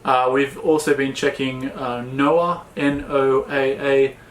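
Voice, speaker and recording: A man's voice: mid-range voice, takes it slowly. Easygoing Australian man, roomy sound. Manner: relaxed, filler-heavy, plain-spoken. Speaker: sailing vlog co-host. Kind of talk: casual Q&A chat.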